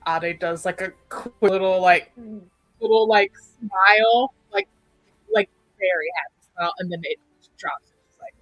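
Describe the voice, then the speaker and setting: A woman chatting and loosely narrating as a tabletop roleplay player, casual and halting, often repeating herself. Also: medium pitch, lively intonation, a bit bright, no echo, faint music behind, poor audio.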